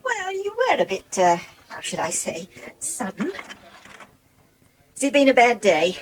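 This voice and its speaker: An actress playing a kindly, brisk older English woman minding a village pub bar in a radio soap. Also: a little high, very animated. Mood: gently challenging. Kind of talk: 1980s radio soap acting.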